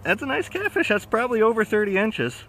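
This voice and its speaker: Bright-voiced man chatting outdoors while fishing. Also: sits high, very animated, fast talker. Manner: slangy, exclaiming to himself and companion. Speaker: vlogger fishing from shore. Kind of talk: casual excited fishing chat. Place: outdoors on a riverbank. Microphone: action camera worn on the body. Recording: outdoor action camera with clear background noise.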